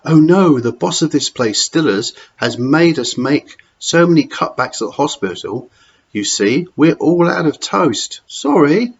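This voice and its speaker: A man voicing a gushing, soft-hearted older female dinner lady who talks in a high, fretful flutter. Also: animated, a little slow, slightly rough, full character voice. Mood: chirpy. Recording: dull lo-fi recording.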